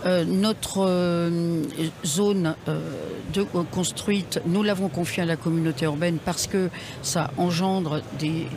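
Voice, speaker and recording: A woman who is a mayor, answering a radio interview haltingly with many hesitations, at a trade fair stand. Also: on the low side, steady tone. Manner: hesitant, many ums and restarts. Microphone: table radio mic with headphones. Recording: noisy trade fair hall.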